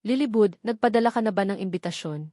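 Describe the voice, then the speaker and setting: A woman's voice: mid-range voice, fast, warm tone, no room sound, clean studio sound, lightly acted. A woman narrator voicing a middle-aged, helpful mother of a bride in an audiobook novel. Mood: pleased, helpful.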